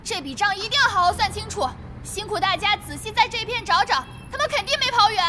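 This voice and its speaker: 高音傲慢女公会首领. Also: female voice, very high-pitched, lively intonation, brisk, a crowd in the background. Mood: bossy, indignant. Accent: Mainland Chinese Mandarin. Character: haughty, vengeful young female guild leader in online game. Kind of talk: audio drama acting. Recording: music behind the voice.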